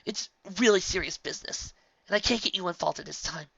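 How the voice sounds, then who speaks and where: A secretive young pony mare, voiced by a woman speaks soft and hushed, pleading and withdrawn. Her voice is raised in pitch yet kept quiet. The sound is dull and lo-fi with a dry, close feel. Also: brisk, vocal fry, breathy edge, no room sound, recorded from a distance, full character voice.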